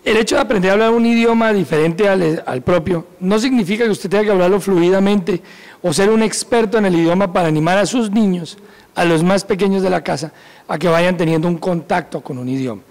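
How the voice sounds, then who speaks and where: A man in his forties, a police school director, calmly explaining an educational point in an office. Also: high-pitched, brisk. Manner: calm, instructive, laughs easily. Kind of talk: educational segment talk. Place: indoor office with bookshelves. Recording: echoey room.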